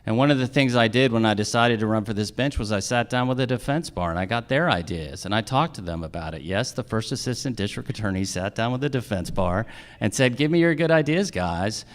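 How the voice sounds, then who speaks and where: A man's voice: mid-range voice, lively intonation, fairly quick. A middle-aged man giving an emphatic, rhetorical speech as a judicial candidate at a forum. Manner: emphatic, rhetorical questions and repetition. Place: live in a theater.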